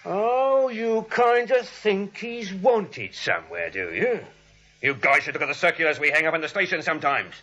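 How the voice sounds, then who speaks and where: Casting: sarcastic, bossy police lieutenant, forceful bark, swinging pitch, old radio comedy. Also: male voice, very animated, moderate pace, full character voice. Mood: sarcastic, brusque. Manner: barking, sarcastic, impatient. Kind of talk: 1940s radio comedy acting. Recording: dull vintage radio recording.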